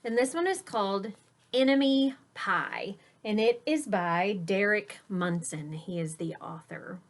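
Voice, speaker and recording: A woman reading a picture book aloud to children like a teacher, expressive, with emphasis and hushed dramatic moments. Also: medium pitch, a little slow, slight background noise.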